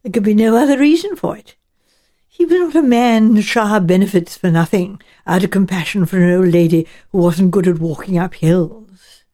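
A woman's voice: mid-range voice, normal speed, mellow, lightly acted. A woman voicing a shrewd, genteel elderly English village spinster, musing and politely chatty, in an audiobook. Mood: musing, measured.

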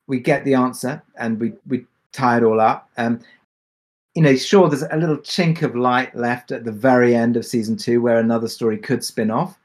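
A man with a middle-pitched voice speaks as a creator on a Q&A panel. His delivery is casual and reflective, feeling its way through each thought in a tentative manner. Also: darkish tone. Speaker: podcast drama creator on a Q&A panel.

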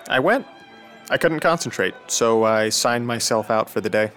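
A man's voice: medium pitch, moderate pace, a bit bright, soft background music, lightly acted. A man acting in a radio drama as a frustrated, bitter teenage son with a cynical, sardonic manner. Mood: defiant. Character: bitter, frustrated teenage son, high school senior age.

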